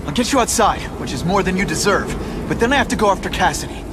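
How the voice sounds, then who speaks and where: A man's voice: high voice, animated, fast. An actor playing a young, wisecracking male superhero in a video game, earnest, quippy and urgent. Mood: sardonic, determined. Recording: loud music and vehicle sounds behind.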